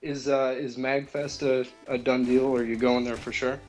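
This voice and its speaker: A man calling in to chat casually, hesitant and low-key. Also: level tone, normal speed, a little mellow, faint music behind. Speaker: caller.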